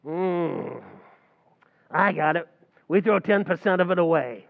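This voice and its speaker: A man's voice: high-pitched, unhurried, creaky, phone-quality sound. A man playing a wisecracking New barman. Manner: wisecracking, mangles grammar. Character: fast-talking scheming male tavern manager. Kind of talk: 1940s radio acting.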